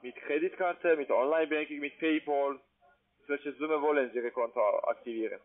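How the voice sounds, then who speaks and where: A man's voice: on the high side, normal speed. A man who is not a native German speaker, working as a call centre sales agent, insistent and ungrammatical in his phrasing. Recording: narrow tinny phone line.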